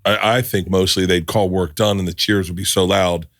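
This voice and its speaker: A man's voice: low-pitched, moderate pace, dry recording, clean studio sound. A middle-aged man from Florida hosting a podcast, telling stories in casual chat, acting out quotes and laughing often. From Tampa, Florida. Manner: animated storyteller, acts out quotes, laughs often. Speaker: podcast host.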